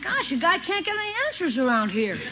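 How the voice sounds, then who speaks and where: A man's voice: very high voice, sing-song, fast talker. A man playing a cheeky, nosy young boy with a brash, wisecracking manner in 1950s radio comedy. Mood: nosy, exasperated. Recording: dull, slightly noisy vintage radio recording.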